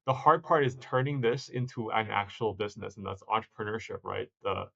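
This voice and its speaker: A man, a startup founder, answering interview questions in a reflective, halting way with frequent restarts. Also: lowish voice, steady tone, vocal fry, bright, forceful tone, muffled recording. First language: not stated. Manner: reflective, many restarts and self-corrections.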